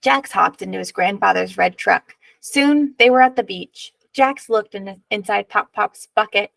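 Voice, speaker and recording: Creaky-voiced woman reading a picture book. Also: medium pitch, measured pace, fairly bright tone, no echo. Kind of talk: expressive read-aloud narration.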